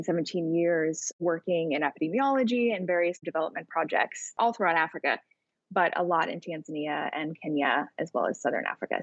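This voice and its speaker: A woman's voice: lowish voice, no echo, muffled recording. A woman guest who runs a travel agency, answering interview questions in a friendly, chatty way.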